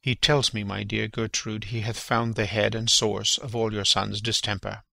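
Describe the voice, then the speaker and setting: An actor playing a stately, commanding king in a classical drama reading, formal and measured. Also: lowish voice, flat delivery, vocal fry, pushed and bright, dry recording, exaggerated voice. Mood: expectant.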